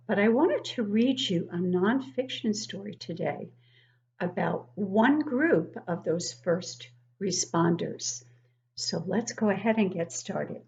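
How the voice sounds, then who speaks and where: A woman reading a storybook aloud to young children as a teacher, expressive, with encouraging conversational asides and questions to her listeners. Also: medium pitch. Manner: expressive, emphatic when reading. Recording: dull, lo-fi sound.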